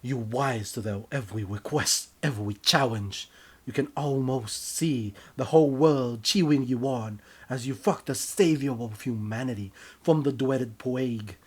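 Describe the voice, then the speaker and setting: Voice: medium pitch, a little slow, slightly rough. A narrator reading their own comic story in a mock-serious, theatrical, scripted style.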